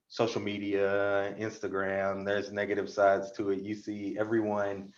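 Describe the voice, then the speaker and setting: An American man on a panel giving casual career advice over a video call in a halting, easygoing flow. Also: lowish voice. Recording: slightly dull video call audio.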